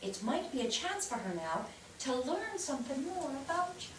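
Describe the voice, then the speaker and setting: A woman's voice: sits high, sing-song, normal speed, slight background noise. A woman acting an earnest, declamatory narrator of family history in a staged play reading. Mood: earnest, explanatory. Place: theatre stage, recorded from afar. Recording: distant microphone in echoey theatre.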